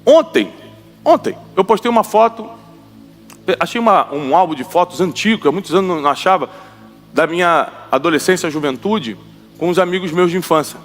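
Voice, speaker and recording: Male preacher in his forties, emphatic and high-pitched, long hall reverb with faint music under. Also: animated, brisk. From Rio de Janeiro, Brazil. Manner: emphatic, repeats lines for the audience to echo. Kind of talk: sermon.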